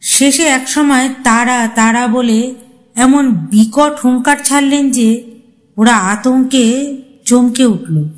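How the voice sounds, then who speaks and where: A woman's voice: high-pitched, slow, pauses often, a little mellow, soft background music. A woman storyteller giving a dramatic scripted narration of a horror tale.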